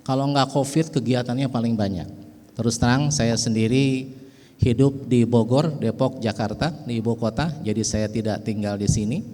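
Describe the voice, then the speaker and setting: A man's voice: medium pitch, normal speed, dark tone. Middle-aged man with a Betawi accent, reverberant hall. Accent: Betawi and West Java Indonesian. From West Java, Indonesia. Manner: folksy, with frequent "ya" asides. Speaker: speaker at an organization event. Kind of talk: speech at a ceremony. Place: large hall.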